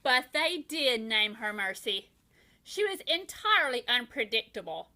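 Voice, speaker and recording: Woman, storybook narrator for young children, high bright voice, expressive, deliberate delivery. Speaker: first grade teacher. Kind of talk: children's bedtime story read-aloud.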